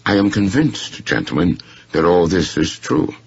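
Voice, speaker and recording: A man voicing a courteous, shrewd, unflappable gentleman in a story, speaking formally and with deliberate precision. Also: low voice, very animated, vocal fry, slightly rough, muffled recording, heavily acted. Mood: calm, reasoning.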